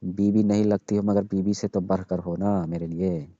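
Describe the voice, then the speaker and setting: A young man with a low, very mellow voice pleads insistently in a casual phone conversation. The call recording is dull and lo-fi, and he sounds like an emotional, jilted lover. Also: little pitch movement, moderate pace, no echo.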